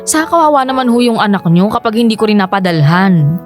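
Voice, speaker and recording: A woman's voice: mid-range voice, lively intonation, fast, soft background music, lightly acted. A woman playing a weary, dutiful young daughter in a Filipino radio drama, respectful but exasperated and pleading. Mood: worried, protesting. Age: young adult.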